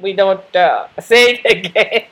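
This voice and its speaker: Slow, hesitant woman telling a story. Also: medium pitch, fairly bright tone. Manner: hesitant, many fillers, fond. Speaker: interviewee. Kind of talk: personal storytelling.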